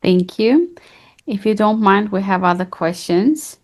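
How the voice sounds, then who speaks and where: A woman moderating an interview, putting prepared questions to a guest in a polite, deliberate manner. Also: mid-range voice, lively intonation, a little mellow.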